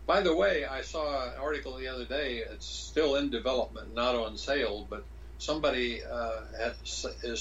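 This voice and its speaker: A man co-hosting a podcast in casual conversation, halting and restarting as he searches for words. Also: medium pitch, fairly bright tone, lo-fi sound, slight background noise. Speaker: podcast co-host.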